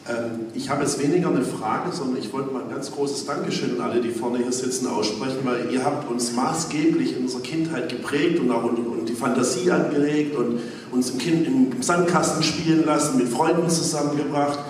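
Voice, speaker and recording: A man's voice: medium pitch, a little fast. A man speaking spontaneously from the audience as a grateful fan addressing a panel. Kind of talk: spontaneous thank-you address. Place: large hall, recorded from the audience. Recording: echoey hall, distant, faint noise.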